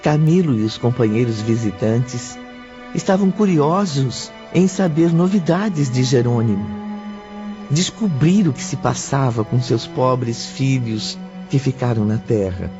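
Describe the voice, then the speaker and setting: A male narrator of an audio drama with a solemn, storytelling delivery. He reads very slowly at an ordinary male pitch. The recording is a little dull, with strong music underneath. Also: lively intonation. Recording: slightly muffled, music underneath.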